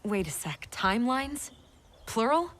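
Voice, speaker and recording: A woman's voice: a little high, big pitch swings, measured pace, a bit bright, a little hiss or hum. An actress playing a skeptical, plain-spoken young woman fighter in a video game, informal and blunt. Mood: baffled, incredulous. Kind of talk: video game cutscene acting.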